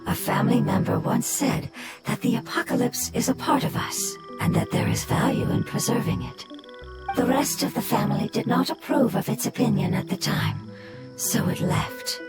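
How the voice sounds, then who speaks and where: A woman voicing a calm, wise ancient creature who speaks in a measured, formal way with a slightly breathy voice. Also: on the high side, lightly acted. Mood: solemn. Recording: game audio with loud music. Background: game music.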